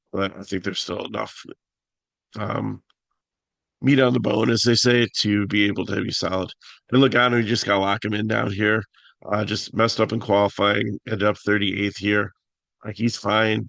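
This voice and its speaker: A man with a raspy voice hosting a casual fantasy picks talk, halting with many fillers and restarts. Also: lowish voice, fairly bright tone, dry recording. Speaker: fantasy sports analyst host.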